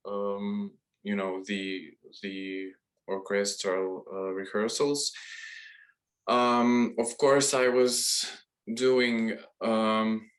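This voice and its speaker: A young man in his twenties with a Croatian accent, a violinist speaking as a guest on a video call, pausing often with many ums and uhs. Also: medium pitch, fairly bright tone. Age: 20s. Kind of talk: casual video call interview.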